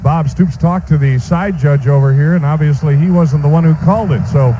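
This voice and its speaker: Male American sideline reporter, higher voice, lo-fi feed. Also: fairly quick. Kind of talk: conversational live radio report. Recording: dull noisy sideline radio feed. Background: stadium noise.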